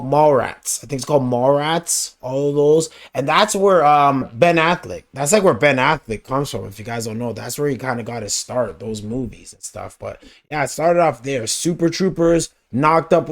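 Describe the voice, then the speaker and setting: A young man with a raspy voice hosting a reaction video, talking in a laid-back, slangy, rambling way. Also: mid-range voice, moderate pace, hardly any pauses. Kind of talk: casual reaction commentary.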